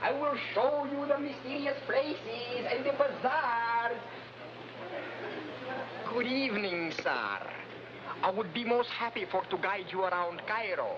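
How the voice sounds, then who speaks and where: An actor playing an eager, boastful Cairo street guide who speaks flowery broken English in a 1950s television adventure. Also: male voice, very high voice, lively intonation, measured pace. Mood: eager, ingratiating. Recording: noisy, muffled old TV soundtrack.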